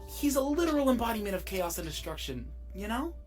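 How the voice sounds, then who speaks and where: A woman's voice: a little high, very animated, fairly quick, darkish tone. A woman playing a wry, supportive female companion with a dryly playful streak in a fan audio drama. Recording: music behind the voice.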